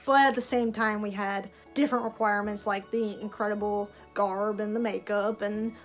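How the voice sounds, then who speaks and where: A woman's voice: mid-range voice, steady tone, moderate pace, faint music behind. A young woman vlogger in casual chat to camera, chatty, with lots of filler words and laughs. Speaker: vlogger and festival performer.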